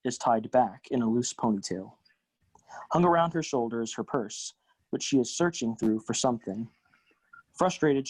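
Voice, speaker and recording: A man reading stage directions as scripted narration, in measured, neutral, deliberate phrases. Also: medium pitch, a little mellow, dry recording, low-quality recording.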